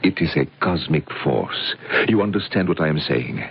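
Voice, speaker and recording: An actor playing an intense, fervently persuasive mystic in an old radio drama, with a gravelly, husky voice. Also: male voice, extremely low pitch, animated, moderate pace, exaggerated voice. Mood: fervent, mesmerizing. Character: intense mystical man, fervently persuasive about cosmic light. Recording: dull, lo-fi old radio recording.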